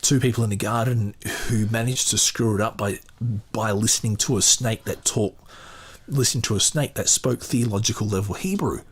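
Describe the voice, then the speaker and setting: A male podcast host with a fairly low, rough-edged husky voice. He reads aloud and adds his own commentary off the cuff. His delivery is opinionated and emphatic. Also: moderate pace.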